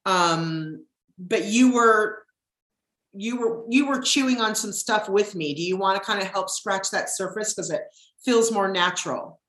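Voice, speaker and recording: A middle-aged woman with a deep husky voice, hosting her podcast in casual chat, rambling with plenty of ums. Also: a little slow, fairly bright tone, echoey room, poor audio. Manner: chatty, rambling, with frequent ums. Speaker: podcast host.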